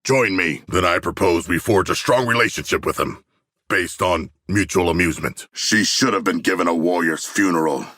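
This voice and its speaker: A man voicing a huge, proud shark-man warrior in a video game, gravelly, formal and earnest, with stilted, grandiose diction. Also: deep voice, normal speed, sharp tone, dry recording, studio quality. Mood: earnest, deliberate. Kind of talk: video game character voice lines.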